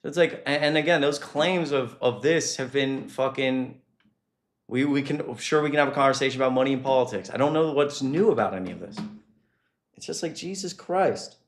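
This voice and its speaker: A man giving casual, unscripted political commentary as a streamer, halting and sweary, with an exasperated manner. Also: mid-range voice.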